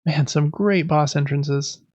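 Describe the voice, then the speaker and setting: A man's voice: a little high, slow, a little mellow, lo-fi sound. A man chatting casually as a podcast host. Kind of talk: casual chat about video games.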